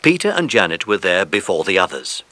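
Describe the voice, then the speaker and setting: A man narrates a children's story with expressive, scripted delivery. His mid-pitched voice is bright and animated, recorded very dry. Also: brisk, dry recording. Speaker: audiobook narrator.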